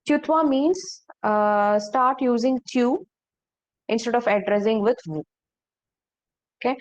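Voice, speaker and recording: A woman teaching a French class, slowly repeating phrases and checking that students follow. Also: a little high. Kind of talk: online language class.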